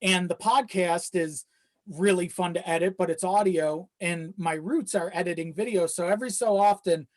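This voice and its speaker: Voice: mid-range voice, normal speed. A podcast guest chatting casually in an informal, sweary and self-deprecating way. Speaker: video editor.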